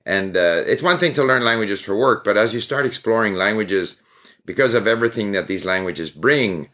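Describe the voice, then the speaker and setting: An older man from Canada, a guest in an interview, telling his story in a relaxed, reflective way. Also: lowish voice, moderate pace. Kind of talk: interview conversation.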